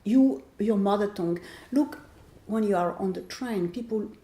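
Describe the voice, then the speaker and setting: A woman in her sixties with a French accent talks slowly and reflectively as an author in conversation, restarting phrases as she searches for the right English word. Her voice is warm and mellow, and it rings a little in an echoey room. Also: medium pitch. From France. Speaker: author being interviewed.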